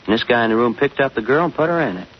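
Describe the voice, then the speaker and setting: An actor playing a brash, slangy, eager tough-guy sidekick with a husky voice in 1940s radio drama style. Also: medium pitch, lively intonation, very fast, natural voice. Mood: excited storytelling. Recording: muffled old radio recording.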